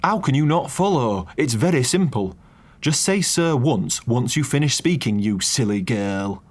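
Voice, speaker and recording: A man's voice: lowish voice, lively intonation, vocal fry, a little mellow. A man as a prim, exasperated butler. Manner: corrects etiquette stiffly and deliberately.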